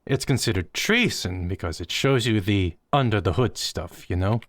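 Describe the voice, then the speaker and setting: A man voicing a small, gentle digital creature who speaks earnestly and coaxingly. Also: on the low side, lively intonation, moderate pace, dry recording.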